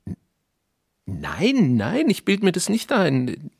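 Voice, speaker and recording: A man playing an uneasy, suspicious town writer in a radio drama, speaking in a halting, questioning way. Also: on the high side, huge pitch swings, creaky, slightly rough, no room sound, studio quality, heavily acted. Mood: agitated, self-doubting. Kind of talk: naturalistic German radio drama acting.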